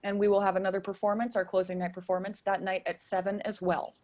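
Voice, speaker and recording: A woman's voice: mid-range voice, flat delivery, fast talker, a little mellow. Female interview guest, stage director type: earnest, chatty, conversational, average register. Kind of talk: casual interview talk.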